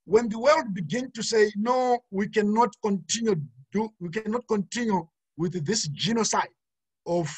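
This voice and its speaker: A middle-aged man from Zimbabwe gives an earnest talk over a laptop webcam from a room at home. His voice is husky and sits a little high for a man. The sound is dry and close, with frequent fillers and restarts. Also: normal speed, no echo. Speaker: panel speaker. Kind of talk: webinar talk. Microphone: laptop webcam, video call.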